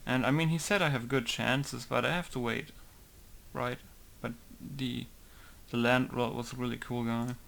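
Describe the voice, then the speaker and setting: A man's voice: medium pitch, moderate pace, vocal fry. A young man, a game modder chatting casually while testing things on screen. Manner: relaxed, chatty, thinking aloud while testing. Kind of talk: casual screen-recorded commentary.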